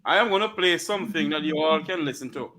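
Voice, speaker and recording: A man's voice: fairly high pitch, animated, fast, pushed and bright. A Jamaican man hosting an online talk show who jumps in to steer the talk. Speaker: host.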